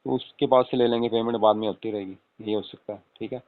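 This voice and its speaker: A man's voice: lowish voice, fairly even intonation, fast talker, close to an everyday voice. A man playing an affectionate young husband on a casual late-night call, coaxing and often asking for agreement. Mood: coaxing, persuasive. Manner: coaxing, repeatedly seeking agreement with tag questions. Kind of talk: acted casual phone conversation with spouse. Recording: tinny phone-call audio with room echo.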